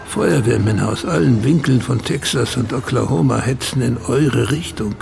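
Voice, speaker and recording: Radio drama narrator: male, mid pitch, heavily creaky, wry and knowing, music underneath. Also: moderate pace, a little mellow. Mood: wry, grave. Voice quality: gravelly. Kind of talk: scripted radio play narration.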